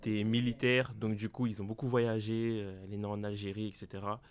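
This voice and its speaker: A young man on a round-table podcast, talking in a hesitant way with many pauses. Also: fairly low pitch, flat delivery, clear, resonant tone. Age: young adult. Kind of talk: casual round-table chat.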